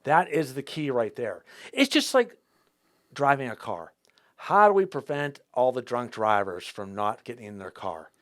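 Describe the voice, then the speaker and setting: A man hosts a talk show, his pitch swinging up and down as he restarts and repeats words. The sound is dry and close to the mic, with no room around it. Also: sing-song, moderate pace, a bit bright, dry recording. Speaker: host. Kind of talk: casual interview talk.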